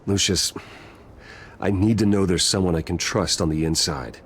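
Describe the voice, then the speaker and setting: A brooding vigilante billionaire played by a man with a low voice, over clear background noise. He is terse, grave and controlled, a determined hero of a game drama. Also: moderate pace, natural voice. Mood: earnest. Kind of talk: dramatic video game acting.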